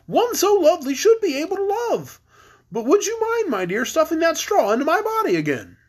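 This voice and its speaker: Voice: very high-pitched, huge pitch swings, brisk, voice pushed up, heavily acted. A reader voicing a kindly living male scarecrow from a storybook, with theatrical, exclamatory delivery. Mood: dramatic, pleading. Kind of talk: storybook character voice.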